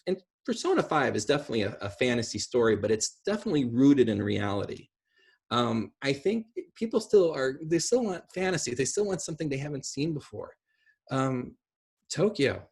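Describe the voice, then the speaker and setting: A man's voice: fairly high pitch, gravelly, darkish tone, breathy edge. A man in his forties chatting effusively and ramblingly on a video call from a room at home. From small rural town. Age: 40s. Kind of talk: casual discussion on a video call. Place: small room at home, indoors. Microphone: computer on a video call.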